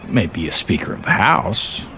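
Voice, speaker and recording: An actor playing a guarded, irritated senator who talks bluntly in everyday conversational tones. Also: male voice, deep voice, big pitch swings, measured pace, bright, forceful tone, slight background noise, exaggerated voice. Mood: wary, defiant. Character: wary, irritated male senator resisting pressure.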